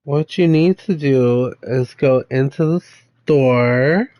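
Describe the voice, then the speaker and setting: A man chatting casually at home, explaining things in loose, halting phrases. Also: medium pitch, warm tone, no echo. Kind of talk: casual chat. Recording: dull lo-fi home recording.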